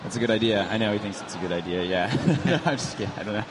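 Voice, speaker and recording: A man's voice: on the low side, expressive, fast. A man hosting a live stage interview in a casual way, chuckling between questions. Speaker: stage host interviewing a champion. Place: event stage. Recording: noisy live event.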